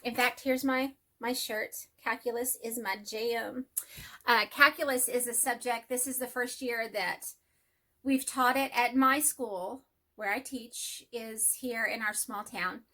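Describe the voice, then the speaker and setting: Middle-aged Texan woman, nasal, bright, chatty self-deprecating vlogger. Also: on the high side, fairly even intonation, measured pace. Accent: Texas. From Texas. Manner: rambling, friendly, self-deprecating. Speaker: hobby vlogger and math teacher. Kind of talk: casual chat to camera.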